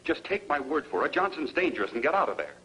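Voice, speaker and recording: Casting: urgent, exasperated American friend in a vintage B-movie, lively and sharp-voiced. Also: male voice, a little high, fast talker, low-quality recording. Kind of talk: 1950s B-movie acting. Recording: old film audio with faint noise.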